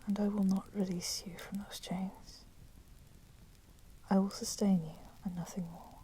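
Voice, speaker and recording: A woman playing a coolly menacing, possessive female vampire in a whispered ASMR roleplay, with soft, breathy delivery and formal, deliberate phrasing. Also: medium pitch, lightly acted. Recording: steady background noise, crackling fire sound. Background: crackling fire.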